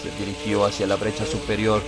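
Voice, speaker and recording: A man narrating a horror story in a slow, deliberate, grave and dramatic way, as for an audiobook. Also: lowish voice, completely flat delivery, very noisy.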